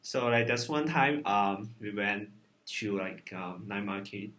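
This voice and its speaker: A man's voice: on the low side, measured pace, a bit bright. A man telling a trip story in casual chat, hesitant and full of fillers. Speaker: interviewee.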